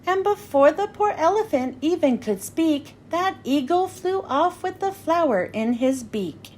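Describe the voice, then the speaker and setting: A woman reading a children's story aloud in an expressive, singsong rhyming delivery. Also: sits high, a little slow, a little hiss or hum. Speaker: children's book reader. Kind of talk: animated read-aloud storytelling.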